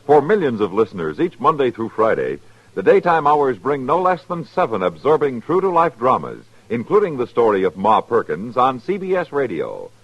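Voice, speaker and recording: A man's voice: mid-range voice, a bit bright, slightly rough, no room sound. A man with an American accent reading a scripted promo as a mid-century radio announcer. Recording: dull old radio broadcast recording.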